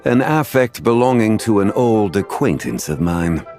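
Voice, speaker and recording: A man voicing a calm, courteous, learned gentleman in a video game, speaking in a measured, formal way. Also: on the low side. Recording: faint music behind the voice. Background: soft game music.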